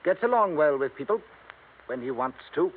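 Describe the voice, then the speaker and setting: A man's voice: on the high side, animated, moderate pace, slightly rough, narrow, tinny audio. A man playing a guarded, formal senior attorney in an old radio drama, precise and a little pompous. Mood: reserved. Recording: thin, band-limited vintage radio recording.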